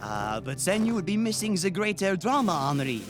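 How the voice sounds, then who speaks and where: A man's voice: high voice, big pitch swings, normal speed. A gallant nobleman. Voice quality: gallant, flowery. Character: dashing young French nobleman, a general. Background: music score.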